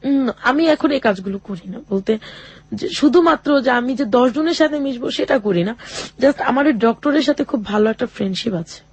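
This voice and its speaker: A woman's voice: a little high, lively intonation, a little mellow, breathy edge, dry recording, dull audio. Earnest woman telling her life story. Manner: halting and earnest, often quoting others. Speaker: interview guest. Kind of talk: personal life story told in an interview.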